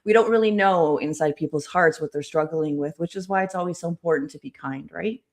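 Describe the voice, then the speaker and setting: A podcast host with a mid-range voice asks interview questions. The host often affirms the guest with soft "mm" sounds. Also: mid-range voice, brisk. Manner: affirming, frequent soft backchannels.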